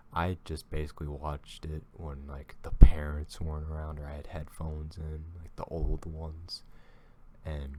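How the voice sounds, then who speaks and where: A man with a very deep, dark voice talks through a video he is reacting to. He drawls slowly and rambles, often trailing off and restarting his thoughts. The tone stays casual and conversational. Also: a little hiss or hum. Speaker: YouTube commentator reacting to a video.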